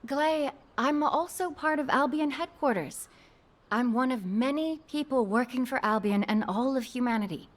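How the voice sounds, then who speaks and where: A woman's voice: high voice, normal speed, lightly acted. A woman playing an earnest, determined young researcher in a video game. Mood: resolute.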